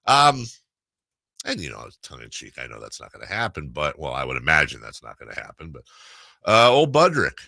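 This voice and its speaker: A man with a deep, hard-edged bright voice recorded close and dry. He hosts sports talk casually, with wry jokes and halting restarts. The delivery is conversational and loose. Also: wildly swooping pitch, vocal fry, no echo. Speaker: sports radio host.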